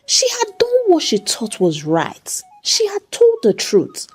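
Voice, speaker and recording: A woman's voice: very high-pitched, wildly swooping pitch, takes it slowly, a little mellow. A woman narrating a scripted dramatic tale as a storyteller.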